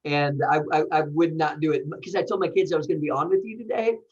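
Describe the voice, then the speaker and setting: A man's voice: fairly high pitch, steady tone, quick pace. An American man, a pastor appearing as a podcast guest, talking casually in an effusive, playful, informal way. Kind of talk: casual conversational interview. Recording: dull, slightly lo-fi audio.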